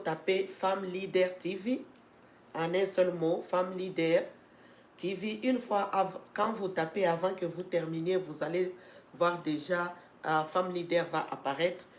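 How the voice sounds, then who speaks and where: A woman's voice: on the low side, fairly even intonation, unhurried, a little hiss or hum. Congested woman hosting a live stream. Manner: talks with many fillers and restarts, grows emphatic and urging. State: congested with a cold. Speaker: host of an educational channel. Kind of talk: live-stream talk to viewers. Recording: echoey room.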